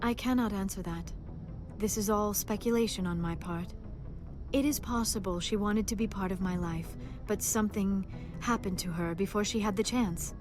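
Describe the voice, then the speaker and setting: A woman voices a gentle female alien scholar from a video game. She is earnest and reflective, choosing formal words with measured, precise diction at a medium pitch. Music plays clearly behind her. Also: lightly acted. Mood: wistful, reflective. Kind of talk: video game dialogue acting.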